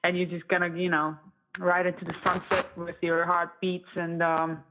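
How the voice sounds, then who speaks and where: A woman's voice: lowish voice, little pitch movement, moderate pace, background noise. A woman in her thirties from Estonia, talking casually and conversationally as an interview guest who produces music. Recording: thin, phone-like band-limited audio.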